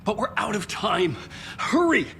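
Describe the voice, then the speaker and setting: A man's voice: high voice, sing-song, a little slow, creaky, heavy background noise, heavily acted. A man playing a desperate, panicked writer, pleading and warning in a dramatic video game scene. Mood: desperate, urgent.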